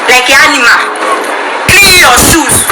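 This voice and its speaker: Shouting high-voiced woman, loud music behind. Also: animated, measured pace. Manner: shouting, scolding, repetitive. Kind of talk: shouted scolding in a clip. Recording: echoey room with loud music.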